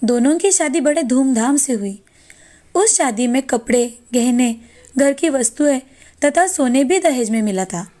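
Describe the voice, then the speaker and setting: A woman's voice: sits high, animated, unhurried, mellow. A woman storyteller reading scripted moral-story narration.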